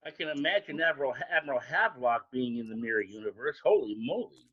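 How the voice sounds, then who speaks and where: A man bantering casually on a cast panel in an animated, exclamatory way. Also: mid-range voice, quick pace. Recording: dull lo-fi call audio.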